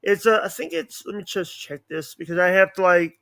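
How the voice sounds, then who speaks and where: A man chatting casually as a podcast guest, speaking in a halting, repetitive, earnest way. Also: high voice, expressive, gravelly, fairly bright tone. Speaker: podcast guest, online show creator. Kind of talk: casual chat.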